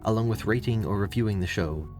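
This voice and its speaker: A man with a low voice narrates a podcast outro. He reads the scripted credits as an announcer while guitar music plays behind him. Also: fast, darkish tone. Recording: music playing under the voice.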